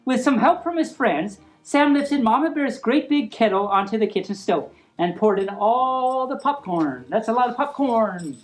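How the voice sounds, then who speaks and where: A woman's voice: a little high, expressive, moderate pace, hardly any pauses, soft background music, low-quality recording. A woman reading a picture book aloud informally, playful and rambling, with easily sidetracked asides.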